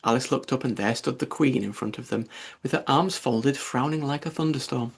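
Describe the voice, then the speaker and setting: A man's voice: mid-range voice, brisk, creaky, husky. A man narrating a children's storybook as a scripted audiobook reading.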